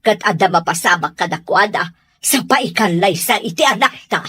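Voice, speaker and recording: A woman acts out a middle-aged mother in an Ilocano radio drama. Her voice is husky, medium in pitch and closely, drily recorded, with a haughty, fretful manner. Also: lively intonation, normal speed, sharp tone, dry recording. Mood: worried, indignant. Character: haughty, anxious wealthy mother who scorns servants.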